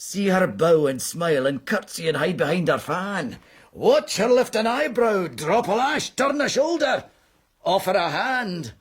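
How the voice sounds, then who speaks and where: Husky, roguish, theatrical gentleman. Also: sits high, expressive, measured pace, exaggerated voice. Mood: sardonic. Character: roguish, worldly, teasing gentleman carouser. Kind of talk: radio period drama acting.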